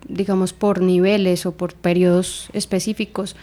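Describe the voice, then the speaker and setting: A woman's voice: fairly low pitch, little pitch movement, normal speed, warm tone. A young Colombian woman with a Colombian Spanish accent, giving thoughtful interview answers full of small fillers. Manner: thoughtful, with many fillers like "eh" and "digamos". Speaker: guest.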